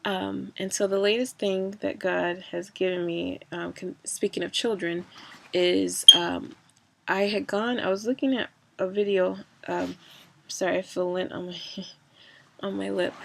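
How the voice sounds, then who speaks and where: A woman's voice: medium pitch, creaky, pushed and bright. A woman talking in a casual personal vlog, rambling with many fillers and restarts, a bit stuffy from a cold.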